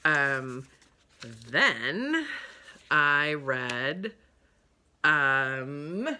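A woman's voice: low-pitched, very animated, very slow, bright, forceful tone, a little hiss or hum. Chatty, drawling woman reviewing books at home. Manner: chatty, rambling, with many ums and uhs. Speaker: book vlogger. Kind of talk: casual book review chat. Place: living room at home. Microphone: webcam at desk distance.

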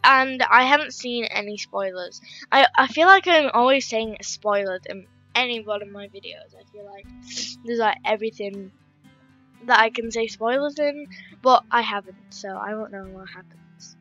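Young female gamer, croaky and bright, rambling casual commentary over gameplay. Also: fairly high pitch, moderate pace, highs cut off, faint music behind. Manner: chatty, rambling, reads game text aloud. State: croaky, just woken up. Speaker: gaming YouTuber. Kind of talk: casual game commentary reading dialogue aloud.

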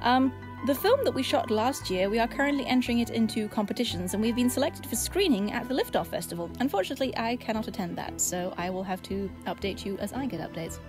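Higher-pitched woman chatting over music. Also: expressive, fast talker. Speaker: director and writer introducing her audio drama. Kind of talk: chatty podcast introduction. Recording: music behind the voice.

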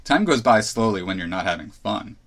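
A man's voice: fairly low pitch, animated, brisk, a bit bright. A man in his thirties hosting a solo podcast, thinking aloud with restarts and asides as he gives his opinions. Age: 30s. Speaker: podcast host.

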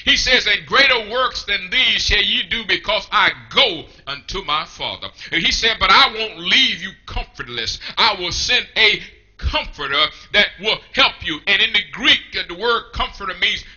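A middle-aged man preaching a fiery revival sermon in a small church, shouting in rhythmic, repeating calls. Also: high voice, lively intonation, normal speed, lo-fi sound.

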